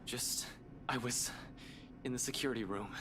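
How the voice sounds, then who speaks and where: A man playing a shaken man trying to stay composed in a visual novel game, speaking haltingly. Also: medium pitch, background noise, lightly acted.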